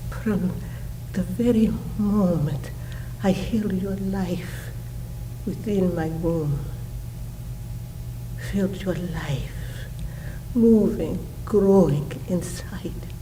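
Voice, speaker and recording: A devoted, sorrowing mother played by a woman in a solemn stage monologue. She speaks extremely slowly and haltingly, in a soft mellow tone full of feeling. A faint rumble sits behind the voice. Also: poor audio. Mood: tender, hushed reverence. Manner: solemn, halting, breathy pauses, intensely emotional. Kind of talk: slow dramatic stage monologue.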